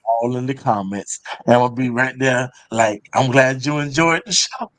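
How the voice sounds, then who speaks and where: A man's voice: medium pitch, normal speed, fairly bright tone, no room sound. A man in his thirties hosting a casual, opinionated panel talk show, speaking bluntly and emphatically and often repeating phrases for weight.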